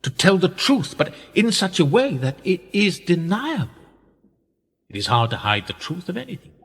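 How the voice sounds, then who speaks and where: An actor playing an older, patronising and manipulative establishment man in a radio play, speaking in measured rhetorical phrases. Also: high voice, sing-song, fairly bright tone, slightly rough, big-room sound, exaggerated voice. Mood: coolly persuasive.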